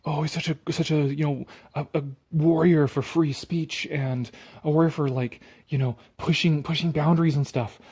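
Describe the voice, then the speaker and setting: A man's voice: fairly high pitch, steady tone, moderate pace, a little mellow, husky. A man reading an article aloud with casual, sarcastic commentary, blunt and dismissive, prone to swearing. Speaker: online commentator.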